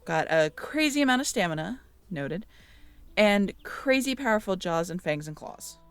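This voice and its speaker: A woman's voice: mid-range voice, expressive, normal speed, clean tone, no room sound, faint music behind. A woman in an intimate audio roleplay, musing aloud as she reads through notes and checks back with the listener.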